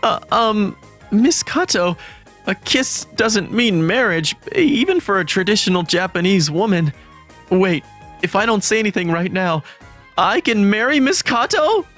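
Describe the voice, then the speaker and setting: A man plays an introverted teenage schoolboy narrating a manga romance. He is gloomy, lovestruck and quick to panic, putting himself down with dramatic flair. Music plays underneath. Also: high voice, expressive, fairly bright tone. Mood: baffled. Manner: self-deprecating, dramatic. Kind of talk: first-person manga story narration.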